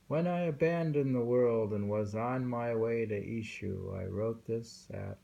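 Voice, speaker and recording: A man reading poems aloud and commenting casually in a slow, musing way, with thoughtful hums. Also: medium pitch, vocal fry, mellow, muffled recording. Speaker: reader of translated poetry.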